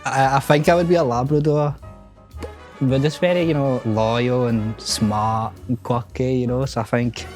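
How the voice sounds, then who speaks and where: A Scottish man in his twenties, a dating show contestant who answers hesitantly and haltingly. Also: mid-range voice, fairly even intonation, a little mellow, soft background music. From Scotland. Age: 20s.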